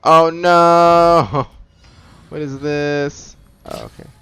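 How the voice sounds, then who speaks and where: A man's voice: on the high side, extremely slow, fairly bright tone, clear, resonant tone. A man giving casual, laid-back, reactive commentary as he plays through a video game. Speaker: gaming commentator. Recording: faint noise, keyboard and mouse clicks.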